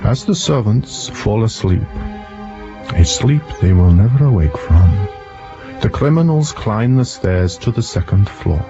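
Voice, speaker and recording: An actor playing a shrewd, self-assured detective in an audio drama, speaking in a deliberate, mock-courteous, accusing manner. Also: male voice, sits low, highs cut off. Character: shrewd male detective exposing a murderess, theatrical, self-assured.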